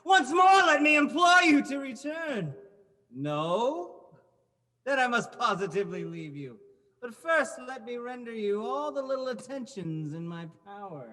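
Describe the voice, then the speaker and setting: A vengeful aristocratic killer in a gothic horror drama, formal and mocking. The voice is high and bright with theatrical swings, taking its time, and rings in a long reverb. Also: male voice, big pitch swings, measured pace, heavily acted. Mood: mocking courtesy. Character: coldly vengeful aristocratic murderer, mockingly polite.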